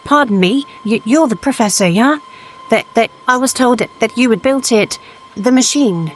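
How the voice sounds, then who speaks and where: A woman playing a wary, earnest Victorian factory hand in a gothic audio drama, speaking in a halting, urgent way. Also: on the high side, lively intonation, a little hiss or hum, natural voice. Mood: nervous, urgent. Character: wary, sharp-eyed working-class female factory hand, earnest.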